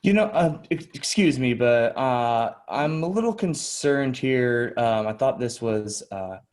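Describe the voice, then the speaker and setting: A man playing a nervous, eager job applicant in improvised sketch comedy, speaking politely and haltingly. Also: mid-range voice, expressive, a little mellow, natural voice. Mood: puzzled, uneasy. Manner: hesitant, polite, stammering.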